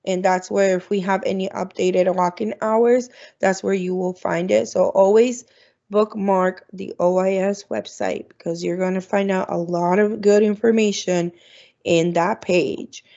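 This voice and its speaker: A woman's voice: mid-range voice, fairly even intonation, unhurried, gravelly, dark tone. A woman who works as an international student advisor, presenting at an online orientation in a chatty way with lots of ums. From Florida, United States. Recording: dull, lo-fi video call audio.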